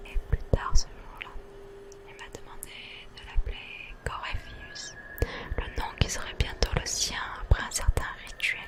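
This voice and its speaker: Asmr gamer lady, all whispers, super slow n gentle. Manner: gentle, unhurried, hushed. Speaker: ASMR gaming host. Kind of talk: ASMR whispered game commentary and read-aloud.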